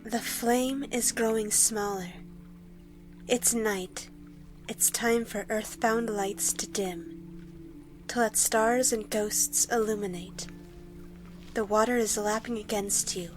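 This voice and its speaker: An actress playing a calm, tender elf woman in an audio drama, speaking in a hushed, soothing, hypnotic manner. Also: a little high, slow pace, long pauses, rough recording. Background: music.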